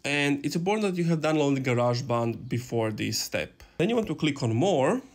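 A man's voice: mid-range voice, animated, a little fast. A man in his twenties hosting a casual step-by-step tech tutorial in an informal, instructional way. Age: 20s. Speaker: tutorial host. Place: small room indoors.

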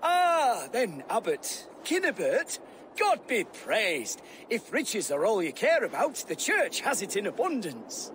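High, pompous, spiteful Saxon lord. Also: male voice, huge pitch swings, slow, fairly bright tone, slight background noise, exaggerated voice. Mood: relieved bargaining. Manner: pompous, declamatory. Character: proud, defiant middle-aged Saxon lord, spiteful and pompous.

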